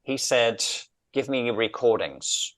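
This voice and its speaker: A man's voice: mid-range voice, animated, slow pace, fairly bright tone. A middle-aged man from London with a southern English accent, talking reflectively and earnestly as an interview guest, often repeating phrases for emphasis. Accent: English, southern British. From London, England. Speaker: interview guest, executive coach.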